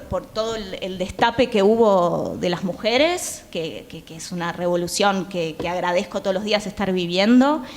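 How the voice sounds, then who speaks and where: Female playwright on a panel, Rioplatense accent, mid-range voice, reflective delivery with searching pauses. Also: medium pitch. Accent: Rioplatense Argentine. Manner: halting, reflective, pausing often. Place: theatre, live conversation.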